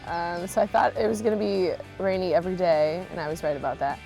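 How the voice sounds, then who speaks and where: A woman's voice: on the low side, steady tone, fast, gravelly, warm tone, faint music behind, poor audio. A young American woman giving casual, chatty interview answers as an exchange student. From Chicago, United States. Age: young adult.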